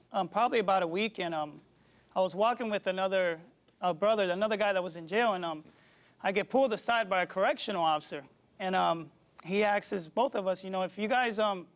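Soft-spoken young man with a high voice. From Puerto Rico. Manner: soft-spoken, many "um"s, respectful "yes, sir". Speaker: reverend, guest. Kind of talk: personal testimony in an interview. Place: television studio set, indoors.

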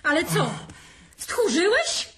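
A woman's voice: extremely high pitch, big pitch swings, takes it slowly, a bit bright, full character voice. A woman playing a reproachful, resigned character in a naturalistic audio drama. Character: Ola, reproachful, resigned woman close to a drinker.

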